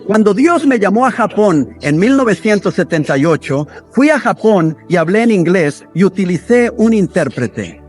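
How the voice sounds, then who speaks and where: High-voiced man preaching a sermon. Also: big pitch swings, moderate pace, few pauses, a little mellow, soft background music. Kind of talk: dubbed sermon voice-over.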